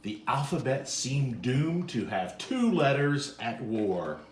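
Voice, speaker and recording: A man reading a children's story aloud as narrator in an expressive style. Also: mid-range voice, takes it slowly, slight background noise. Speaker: storybook reader. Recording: echoey room sound.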